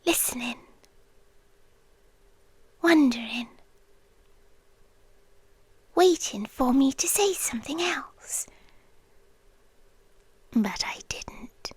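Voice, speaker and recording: A British woman playing an obsessive, possessive girlfriend in an ASMR roleplay, whispering softly and intimately close to the microphone. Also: sits high, lively intonation, no echo, full character voice. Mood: possessive, soft menace. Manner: slow, breathy, intimate whispering mixed with soft voice. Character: obsessive, possessive yandere girlfriend lurking under the bed. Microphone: close, dry microphone.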